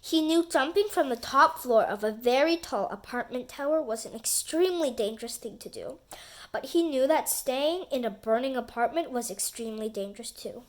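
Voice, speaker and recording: A woman with a high voice narrates a children's story. Her reading is expressive and deliberate, with dramatic emphasis on key words. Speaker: storybook reader.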